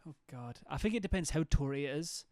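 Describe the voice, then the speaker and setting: A young man chats loosely, swearing and rambling as he reads messages from his stream's chat. His voice sits a touch high for a man. The sound is close and completely dry. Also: lively intonation, fast talker, darkish tone, dry recording. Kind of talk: casual chat reading viewer messages.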